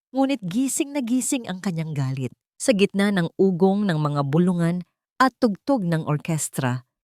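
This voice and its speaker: A woman's voice: fairly low pitch, darkish tone, no room sound, clean studio sound. A woman reading a scripted, dramatic love story as a storyteller.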